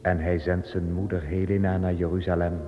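Deep-voiced man narrating over music. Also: level tone, fairly quick. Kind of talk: scripted radio drama narration. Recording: reverberant dull recording with music.

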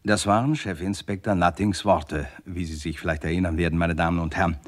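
Casting: urbane first-person narrator of a 1960s German radio thriller, lowish voice, courteous and dryly wry. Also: male voice, steady tone. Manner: polite, wry, measured. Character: urbane, wry male storyteller recounting his own murder case. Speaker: actor narrating a radio crime play.

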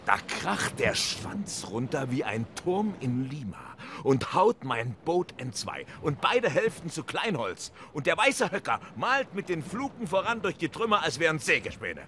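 Hearty one-armed whaler captain telling tall tales, sharp lively voice, faint background noise, radio play. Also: male voice, a little high, measured pace, hardly any pauses, full character voice. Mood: vivid, dramatic. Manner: dramatic, animated storytelling. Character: hearty one-armed English sea captain spinning yarns.

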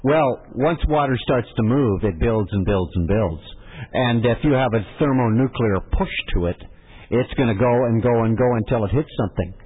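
A male radio host with a husky, mid-pitched voice answers a caller. He speaks firmly and hammers points home by repeating them. The sound is narrow and lo-fi, with a long reverb behind it. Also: moderate pace. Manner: firm, repeats phrases for emphasis. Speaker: radio talk show host. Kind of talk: call-in radio talk. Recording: muffled phone-band audio with long reverb.

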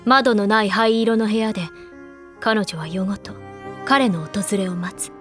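A woman performing solemn, dramatic narration of a ghostly tale in measured short phrases. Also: mid-range voice, over music, lightly acted. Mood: solemn, ominous.

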